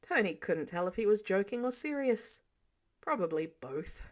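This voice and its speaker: A woman reading fiction aloud as an expressive narrator. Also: medium pitch, moderate pace. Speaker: amateur audiobook narrator.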